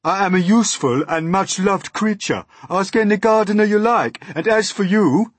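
Man voicing a prim, high-pitched indignant earthworm. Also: moderate pace, breathy edge, no echo. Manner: prim, complaining, emphatic. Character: prim, indignant male earthworm, contrary and self-important.

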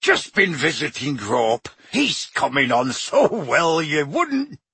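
A huge bearded groundskeeper speaks in blunt, gruff bursts, as a man puts him on reading aloud. The tone is husky and forceful. It is recorded close and dry. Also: on the high side, sing-song, slow pace, dry recording, full character voice. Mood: alarmed.

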